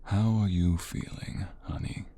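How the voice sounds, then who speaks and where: A man playing an injured young mobster, a devoted and protective lover, in an intimate, halting audio roleplay with a gravelly, husky voice. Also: sits low, mellow, a little hiss or hum. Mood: tender, soothing.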